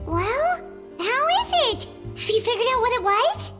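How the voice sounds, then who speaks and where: Anime game fairy companion, small, childlike, squeaky, lively swings in pitch, music behind. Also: female voice, measured pace, exaggerated voice. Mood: curious, indignant.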